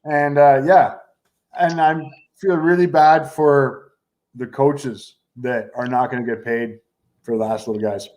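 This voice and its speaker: A man's voice: medium pitch, steady tone, measured pace, a little mellow, poor audio. Man in his forties with short, dry remarks. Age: 40s. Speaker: guest co-host. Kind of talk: casual sports betting chat.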